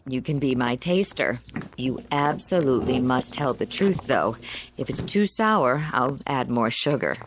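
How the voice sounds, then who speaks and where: An actress playing a kindly, trusting suburban housewife in a radio drama, chatty and gracious in manner. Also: female voice, rumbling low, expressive, a little slow, noisy background. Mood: cheerful.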